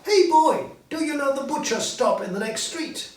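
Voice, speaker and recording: A man voicing a joyful, excitable old miser newly reformed, in an exclamatory storybook reading. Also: very high-pitched, normal speed, voice pushed up. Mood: excited, delighted. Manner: exclamatory, gleeful. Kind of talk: audiobook character acting. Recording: roomy echo, distant mic, faint noise.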